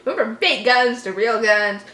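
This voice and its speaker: A woman's voice: fairly high pitch, a little slow, sharp tone, reverberant. A woman reading a horror story aloud casually, giggly and reactive, breaking off to joke and comment.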